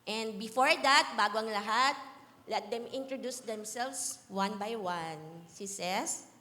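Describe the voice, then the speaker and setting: A woman's voice: medium pitch, measured pace, sharp tone. A woman hosting a church talk segment, mixing English and Filipino as she introduces guests. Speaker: segment host. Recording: long hall reverb, faint noise.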